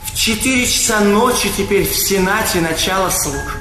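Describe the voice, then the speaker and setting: A man's voice: sits high, normal speed, over music, natural voice. A man playing a sly, servile, gossiping retainer with a fawning, ornate manner in a Russian stage comedy. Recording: echoey theatre hall, faint noise.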